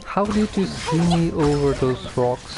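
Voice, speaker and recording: A man giving casual, halting game commentary on a stream, low-key and dryly grumbling. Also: expressive, vocal fry, over music, rough recording. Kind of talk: casual gameplay commentary, some reading aloud.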